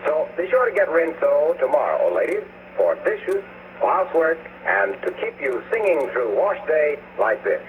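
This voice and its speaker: A man announcing and pitching an advertisement in 1940s radio style, on a noisy old broadcast. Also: sing-song, moderate pace, narrow, tinny audio.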